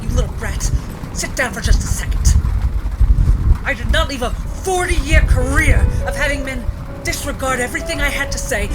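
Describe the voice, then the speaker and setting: A woman's voice: high-pitched, poor audio. Woman playing an exasperated older woman. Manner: exasperated, swearing. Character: older woman, blunt, fed up with being ignored. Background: music.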